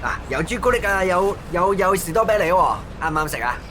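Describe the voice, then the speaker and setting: Casting: male actor as Cantonese street vendor, higher voice, chatty and helpful, drawn-out sales cries, over clear traffic noise. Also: animated, fairly bright tone. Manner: calling out wares in drawn-out hawker cries. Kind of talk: audio drama acting. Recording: noisy street ambience with cars.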